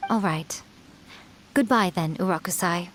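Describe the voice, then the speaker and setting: A woman's voice: lowish voice, measured pace, a little hiss or hum, lightly acted. A woman voicing a sly, smug, ageless fox shrine maiden in a video game, elegant, playful and teasing. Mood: composed, knowing.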